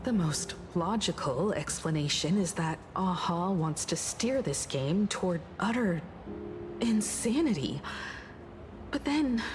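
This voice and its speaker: Calm lore-explaining game character. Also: female voice, fairly low pitch, music in the background. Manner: measured, explanatory.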